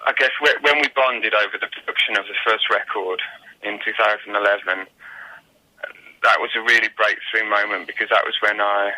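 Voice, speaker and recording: A man's voice: lowish voice, steady tone, a little fast, bright, forceful tone, poor audio. A man answering casually as a musician guest in an interview, reflective and searching for his words. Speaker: guest musician, singer-songwriter.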